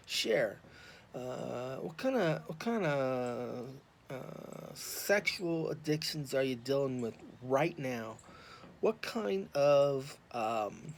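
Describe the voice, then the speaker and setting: An American man talking off the cuff to viewers, rambling and halting but earnest and informal. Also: fairly high pitch, darkish tone. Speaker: YouTube vlogger and podcaster on addiction recovery. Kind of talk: impromptu, unscripted casual monologue to viewers. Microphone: phone. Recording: clear background noise, raw phone recording.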